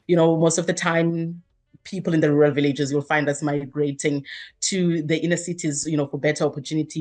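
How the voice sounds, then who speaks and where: A woman in her thirties speaks in a low, full register. She answers at length and earnestly, restarting sentences and filling pauses with "um" and "uh". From South Africa. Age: 30s. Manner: earnest, expansive, many fillers and restarts. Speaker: guest, activist. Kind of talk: interview answers.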